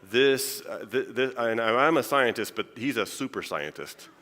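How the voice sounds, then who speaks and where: A man's voice: mid-range voice, expressive, measured pace, sharp tone. A male scientist giving a chatty, joking conference talk, often restarting his sentences. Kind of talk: informal conference lecture. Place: large church hall. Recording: long hall reverb.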